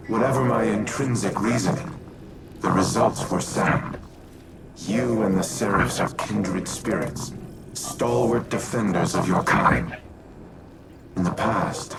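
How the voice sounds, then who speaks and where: A grave video game war ai, low gravelly voice, formal n measured, loud music behind it. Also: male voice, low-quality recording. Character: solemn male war-machine artificial intelligence, grave and remorseful. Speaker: military AI ally. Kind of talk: video game cutscene monologue.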